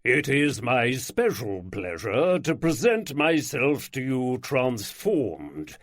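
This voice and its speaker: A man's voice: medium pitch, very animated, no echo, clean studio sound. A man doing a pompous lord with an aristocratic drawl. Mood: grandiose. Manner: grand, theatrical, slow emphatic phrasing. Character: flamboyant aristocratic lord, rich baritone drawl.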